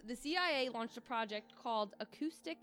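A woman co-hosting a casual podcast, with a pitchy, congested voice, excitable and quick to swear. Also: faint music behind. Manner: excitable, laughs often, swears freely. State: congested from sinus infection. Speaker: podcast co-host. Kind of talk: casual chat.

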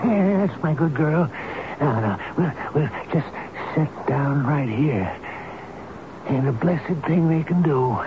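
A man's voice: a little high, lively intonation, slow pace. A man playing a stubborn, devoted old elevator operator in a radio drama, with a folksy, plain-spoken manner. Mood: tender, playful. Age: older. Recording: noisy, muffled old radio broadcast recording.

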